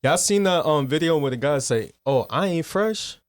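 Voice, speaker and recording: A man's voice: fairly high pitch, lively intonation, moderate pace, over a noisy crowd. A man co-hosting a casual podcast chat, talking easily and stumbling over words now and then.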